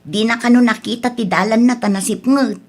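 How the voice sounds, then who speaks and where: Woman playing worried grown daughter, slightly high voice, comedy radio drama. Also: steady tone, fairly quick, darkish tone, lightly acted. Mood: worried, explaining.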